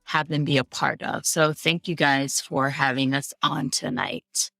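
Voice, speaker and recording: A man, a guest on a podcast, thanking the hosts in a slow, casual way. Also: medium pitch, creaky, sharp tone, dry recording, faint music behind. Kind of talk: casual interview chat.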